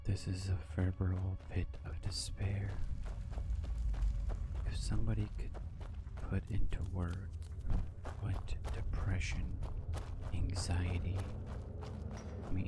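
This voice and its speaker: A man whispering in a breathy, hushed voice as he plays and comments on a video game in ASMR style. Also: sits low. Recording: loud noise and game ambience behind.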